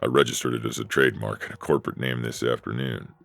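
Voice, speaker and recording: A man voices a grand Hungarian entrepreneur who intones pompously. The voice is extremely deep and full of gravelly creak, recorded dry for an audio story. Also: fairly even intonation, fast, a bit bright, no echo, full character voice. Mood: grandiose. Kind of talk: dramatized audio story reading.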